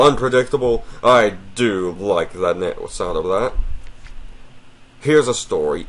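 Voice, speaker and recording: Deep-voiced man politely narrating a game. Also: breathy edge, low-quality recording. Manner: polite, apologetic, addresses the viewer formally. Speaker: let's play host. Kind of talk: casual gaming commentary, reading game text aloud.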